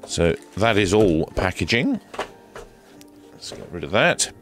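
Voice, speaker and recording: A man's voice: low voice, big pitch swings, unhurried, fairly bright tone, faint music behind. A man presenting a hands-on product review in a casual, hesitant way with dry, understated humour. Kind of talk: casual hands-on unboxing commentary.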